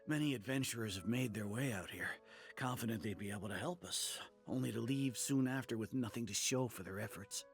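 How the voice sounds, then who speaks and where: An actor playing a weary, sincere village merchant in a video game, hesitant and earnest, with a gravelly voice. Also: male voice, medium pitch, fairly quick, lightly acted. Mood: weary, discouraged. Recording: music under the voice. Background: game music.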